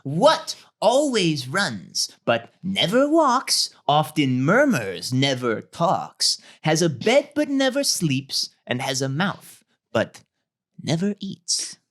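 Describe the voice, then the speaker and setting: A woman's voice: low-pitched, huge pitch swings, takes it slowly, pitched below the performer's own voice, heavily acted. A woman voicing a scornful, bullying troll in a comedy table read, pompous and theatrical, given to indignant outbursts. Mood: ominous, pompous.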